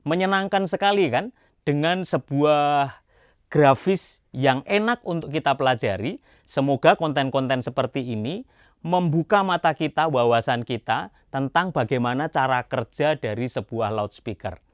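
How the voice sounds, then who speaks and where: A man's voice: mid-range voice, normal speed, clear, resonant tone. Man narrating an explainer. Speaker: presenter of an educational video channel.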